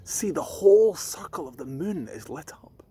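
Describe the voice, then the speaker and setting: A man voicing a gentle, knowledgeable mother who explains things patiently in a storybook. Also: a little high, very animated, moderate pace, husky, voice pushed up, exaggerated voice.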